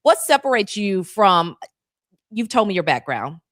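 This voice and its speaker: A woman's voice: medium pitch, very animated, fairly bright tone, no echo, clean studio sound. A woman hosting a news talk show, interviewing a guest and responding attentively with brief affirmations. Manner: attentive, affirming, quick asides. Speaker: show host.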